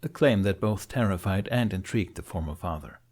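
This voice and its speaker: Male narrator for fantasy fiction audiobook, low register, dramatic storytelling read. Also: brisk, darkish tone.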